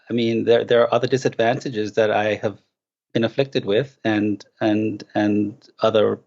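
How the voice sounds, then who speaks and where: Gravelly Jamaican man, dull audio. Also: mid-range voice, a little mellow. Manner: measured, eloquent, with frequent restarts and hesitations. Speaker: guest author. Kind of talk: thoughtful interview answers.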